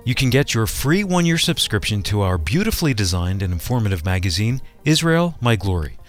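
A man's voice: on the low side, very animated, fairly quick, soft background music. An American man reading a scripted promotional announcement as a radio announcer.

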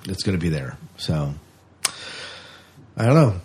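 Husky, low-voiced man chatting on a podcast. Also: sing-song, unhurried, a little mellow. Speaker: podcast co-host. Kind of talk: casual sci-fi news and review chat.

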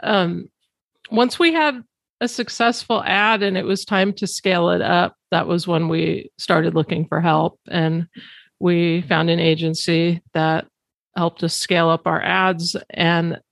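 A woman's voice: on the low side, steady tone, unhurried, creaky, no echo. A middle-aged woman hosting a podcast, talking casually with a business partner and often laughing mid-sentence. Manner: laughs often while talking, loose and chatty. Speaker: podcast host. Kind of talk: casual conversation.

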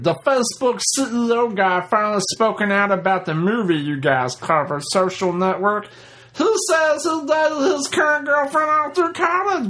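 A man voicing an excitable, easily frustrated comic big dog as a podcast host, rambling and repeating himself in exasperation. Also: very high voice, heavily acted. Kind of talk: comic podcast banter in character.

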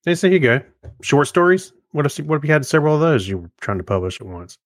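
A man's voice: a little high, big pitch swings, fast, dry recording, studio quality. A man co-hosting a podcast in casual chat, with loose, hesitant phrasing that tends to trail off. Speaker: podcast co-host.

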